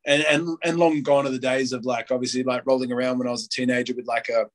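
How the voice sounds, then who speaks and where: A man's voice: mid-range voice, flat delivery, fast talker. Rambling man in his late twenties. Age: late 20s. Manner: rambling, heavy use of "like", acts out quotes. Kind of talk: casual podcast chat.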